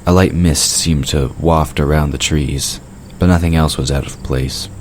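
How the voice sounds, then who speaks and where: A man's voice: very deep voice, steady tone, a little slow. A man reading scripted third-person narration for a fantasy audio drama. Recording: clear background noise of night crickets.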